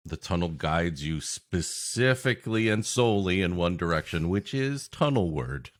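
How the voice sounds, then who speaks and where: A man's voice: low-pitched, animated, normal speed. A man co-hosting a podcast with comedic banter, riffing in a wry, playfully sarcastic way. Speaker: podcast co-host.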